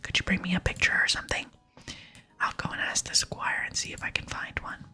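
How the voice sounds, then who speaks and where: A woman whispering in ASMR style, softly and slowly reading video game dialogue aloud. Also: soft background music. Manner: soft, slow, hushed reading. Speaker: ASMR gaming channel host.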